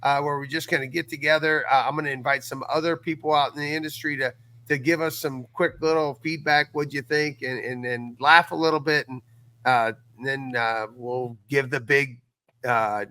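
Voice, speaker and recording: A man co-hosting a podcast, chatting casually and earnestly with halting, repetitive phrasing. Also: medium pitch, steady tone, sharp tone. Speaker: podcast creator and co-host. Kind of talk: casual chat.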